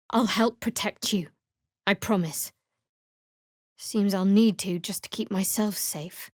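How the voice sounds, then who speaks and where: A young female cleric from a fantasy video game, guarded and sardonic. Her voice sits fairly high and is recorded close and dry. She speaks in a measured, wry way, as a devotee of a dark goddess. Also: dry recording.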